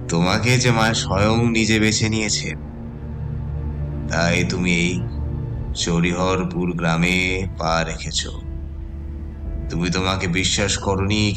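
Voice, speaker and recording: A man's voice: low-pitched, steady tone. A man playing an eerily serene, fanatical goddess devotee in a horror audio drama, speaking with chanting fervour. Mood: eerie, reverent. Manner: slow, chanting, fervent. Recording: voice under loud music.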